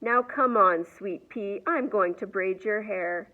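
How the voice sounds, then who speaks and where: A woman's voice: mid-range voice, takes it slowly, phone-quality sound, close to an everyday voice. A woman voicing a coaxing, bossy grandmother in a children's storybook, drawing out her words. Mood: coaxing, insistent.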